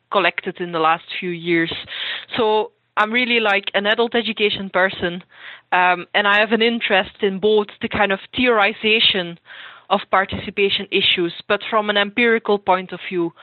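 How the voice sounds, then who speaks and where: A woman's voice: medium pitch, no echo. Woman on a tinny phone-like line. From Flanders, Belgium. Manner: hesitant with frequent ums and restarts. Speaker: lecturer in education. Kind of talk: interview answers.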